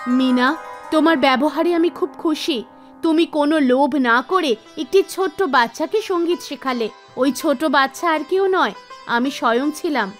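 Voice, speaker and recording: A woman performs a gracious goddess of music in a cartoon tale. The voice is very high, measured and formal, with a benevolent temper. Music plays behind. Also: lightly acted. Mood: pleased, gracious. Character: gracious, composed goddess of music, testing and blessing.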